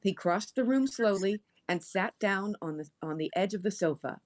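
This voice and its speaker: A woman narrating a horror story aloud in an expressive read-aloud style. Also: mid-range voice, normal speed. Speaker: story reader on a stream.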